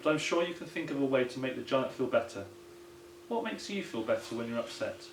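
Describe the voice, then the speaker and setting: A man's voice: mid-range voice, lively intonation, brisk, close to an everyday voice. A man voicing a kindly old grandad who earnestly tells tall tales in a children's picture book. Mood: reassuring. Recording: roomy echo, some background noise.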